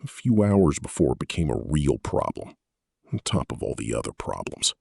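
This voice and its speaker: Low, husky Canadian man narrating a story. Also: very animated, moderate pace, darkish tone, dry recording, clean studio sound. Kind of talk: first-person scripted audiobook narration.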